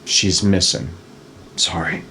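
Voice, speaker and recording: A man's voice: low-pitched, fairly even intonation, slow, bright, forceful tone, a little hiss or hum, heavily acted. A man reading the part of a troubled, protective, irritable husband at a screenplay table read. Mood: frustrated, subdued.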